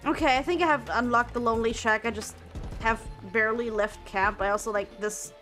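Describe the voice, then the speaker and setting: A woman's voice: a little high, steady tone, a little fast, fairly bright tone, faint music behind. A young woman streaming, chatting casually while she plays, repeating words and filling gaps with ums and likes. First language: German. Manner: rambling, repeats words, many fillers.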